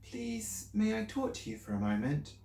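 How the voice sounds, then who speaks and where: A man reading aloud and voicing a small, bright, earnest girl who asks eager, pleading questions. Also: high voice, sing-song, normal speed, voice pushed up. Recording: roomy, distant mic.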